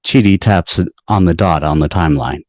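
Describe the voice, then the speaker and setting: Very deep-voiced man reading stage directions. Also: a little fast, a little mellow. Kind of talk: scripted narration for table read.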